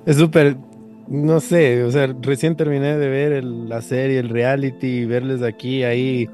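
Excitable male podcast co-host. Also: mid-range voice, measured pace, soft background music. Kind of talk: casual, rambling chat.

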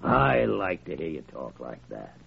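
An actor playing a gruff, cranky old senior doctor with a soft heart, blustering and fatherly in a 1940s radio drama style. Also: low-pitched, huge pitch swings, unhurried, heavily acted. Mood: gruffly fatherly. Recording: vintage radio recording, narrow band.

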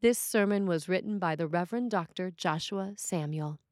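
A woman priest reading a scripted sermon with measured, deliberate phrasing. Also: on the low side, dry recording, studio quality.

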